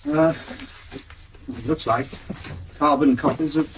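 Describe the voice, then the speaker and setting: A man's voice: mid-range voice, fairly even intonation, slow, close to an everyday voice. A man acting in a 1970s radio drama as an obedient West German police subordinate. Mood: dutiful. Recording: dull, noisy old radio recording.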